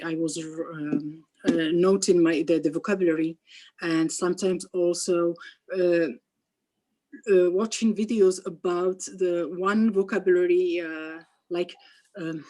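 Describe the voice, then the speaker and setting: Interview guest, woman, first language Arabic, low-pitched, hesitant and full of restarts, video call. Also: fairly even intonation, warm tone. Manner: halting, many restarts and fillers. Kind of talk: casual interview conversation.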